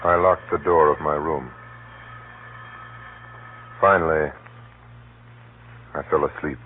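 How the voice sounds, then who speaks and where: A man acting a grave gentleman who narrates a spy plot in an urgent, deliberate, dramatic 1930s radio drama style. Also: very deep voice, fairly even intonation. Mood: tense narration. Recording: muffled, noisy 1930s radio recording. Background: faint hiss and noise.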